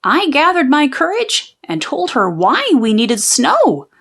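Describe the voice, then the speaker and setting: Lady reading a kids tall tale, super swoopy folksy delivery, slow with some creak. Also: high voice. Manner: expressive, folksy storytelling. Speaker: children's book read-aloud narrator. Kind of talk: animated storybook narration of a folksy tall tale.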